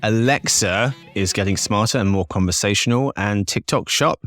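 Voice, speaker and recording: A man's voice: lowish voice, moderate pace, fairly bright tone, faint music behind. A man hosting a business podcast in a cheery, jokey, chatty way. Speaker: podcast host.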